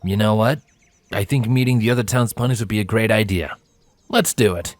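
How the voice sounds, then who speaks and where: Courtly gentleman pony , voiced by a male reader, lowish pitch, polite and smooth-talking, over music. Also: no room sound, close to an everyday voice. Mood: agreeable. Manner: courtly, measured, suave.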